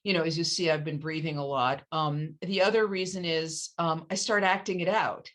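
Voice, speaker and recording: A woman with a low, deep voice leads a casual author conversation. She talks quickly and laughs at herself along the way. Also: fairly even intonation, fairly bright tone. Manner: quick, self-deprecating, with laughs. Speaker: interviewer.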